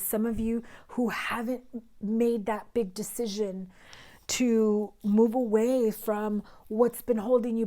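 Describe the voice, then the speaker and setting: A woman with a hoarse, raspy voice gives a tarot reading, taking her time over every phrase. She talks earnestly to her viewers, leans into emphasis, now and then sinks to a whisper, and isn't shy about cussing. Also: on the high side, dark tone. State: hoarse, recovering voice. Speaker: tarot reader.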